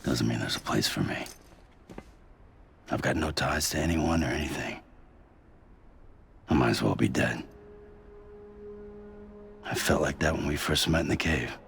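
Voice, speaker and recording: A man playing a weary, withdrawn delivery porter in a cinematic video game, terse and downbeat, with a gravelly voice. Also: very deep voice, measured pace, long pauses, fairly bright tone, a little hiss or hum, heavily acted. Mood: bleak. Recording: music under the voice.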